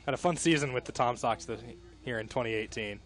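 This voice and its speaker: A man giving casual live color commentary on a baseball broadcast, sometimes halting and repeating words. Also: medium pitch.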